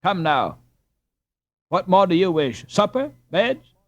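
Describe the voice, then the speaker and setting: A man voicing a sharp, resentful landlord in a storybook, scornful and pompous. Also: sits high, sing-song, takes it slowly, no room sound, lo-fi sound, pitched above the performer's own voice, heavily acted.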